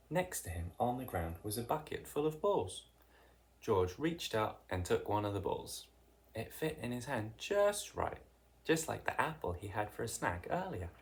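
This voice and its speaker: A narrator reading a children's picture book aloud in an expressive, deliberate way with dramatic emphasis. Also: mid-range voice. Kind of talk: read-aloud children's storytelling.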